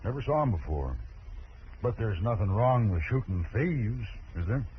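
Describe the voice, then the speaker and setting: An American actor playing a proud, stubborn, middle-aged cattle rancher with a rural western accent and a curt, folksy, commanding manner in a radio western. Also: male voice, on the low side, expressive, normal speed, close to an everyday voice. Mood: defiant. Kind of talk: 1950s radio western acting. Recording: muffled, noisy old radio recording.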